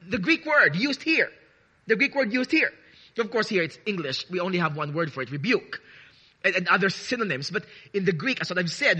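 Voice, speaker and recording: Guy pastor preaching, real high voice, slows down on key words, lots of restarts. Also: bright, forceful tone. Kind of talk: sermon.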